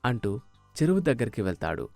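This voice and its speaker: A man's voice: mid-range voice, big pitch swings, a little fast, gravelly, a little mellow, dry recording, soft background music. A man narrating a scripted moral story as a storyteller.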